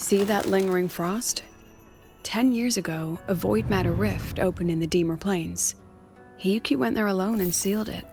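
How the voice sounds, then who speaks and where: A woman's voice: mid-range voice, normal speed, darkish tone, faint music behind, lightly acted. A woman voicing a composed, admiring academy colleague in a video game, explaining things with a lightly wry manner. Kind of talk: scripted video game dialogue.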